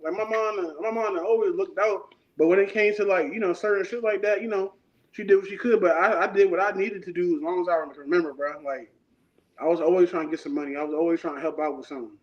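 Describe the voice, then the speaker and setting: A man's voice: sits high, steady tone, quick pace. A man in his thirties, a guest artist talking in a laid-back, rambling, slangy way in a casual interview. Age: 30s. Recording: dull lo-fi sound.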